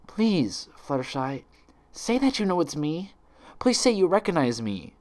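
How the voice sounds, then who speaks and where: A man's voice: a little high, very animated, measured pace, darkish tone, voice pushed up. A man voicing a distraught young female unicorn who stammers and swings between pleading and fury in a story reading. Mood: desperate, pleading. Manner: stammering, desperate.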